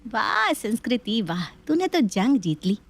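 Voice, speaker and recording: A woman's voice: a little high, big pitch swings, measured pace, heavily acted. A woman voicing a sly, lazy young daughter-in-law, falsely meek and smug, in a family story. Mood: smug, scheming.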